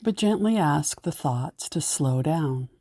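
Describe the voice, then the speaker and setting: A woman leading a guided meditation in a gentle, soothing way, giving unhurried instructions. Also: sits low, expressive, no room sound, studio quality.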